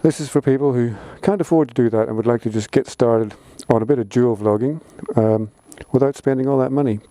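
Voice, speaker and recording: A man's voice: mid-range voice, fairly quick, mellow, no room sound. A man giving a casual how-to explanation for a vlog in an informal, plain-spoken way. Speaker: vlogger.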